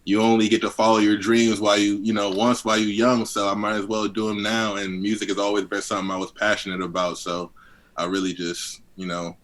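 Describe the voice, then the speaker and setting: Deep-voiced young man from D.C. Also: little pitch movement, fairly quick, fairly bright tone. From Southeast Washington, D.C. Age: 20s. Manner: laid-back, heavy on fillers, chuckles often. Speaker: guest rapper. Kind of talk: casual interview chat.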